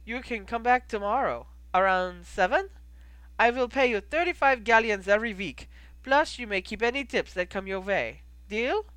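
Performed by a narrator older male innkeeper, patient, cheerful, formal phrasing, bright, swooping pitch, storybook. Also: expressive, moderate pace, smooth, dry recording, natural voice, poor audio. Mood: delighted. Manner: encouraging, instructive, formal phrasing.

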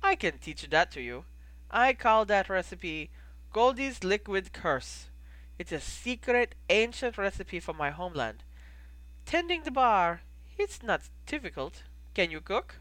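Storybook innkeeper, acted by a reader: ageing foreign man, encouraging and patient, animated, bright voice. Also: mid-range voice, a little slow, no room sound, voice pushed up, poor audio. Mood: musing. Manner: encouraging, instructive, formal phrasing.

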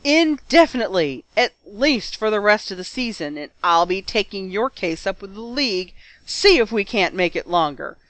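A narrator voicing an angry male sports official who shouts indignantly. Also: medium pitch, sing-song, moderate pace, few pauses, no echo. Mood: furious. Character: irate red-faced male league official.

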